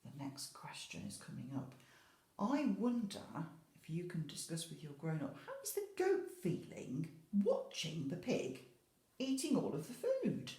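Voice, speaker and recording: A woman's voice: on the low side, wildly swooping pitch, unhurried, warm tone, reverberant. A woman speaking as an infant school teacher, explaining simply and expressively to young children. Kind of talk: children's story reading and questions.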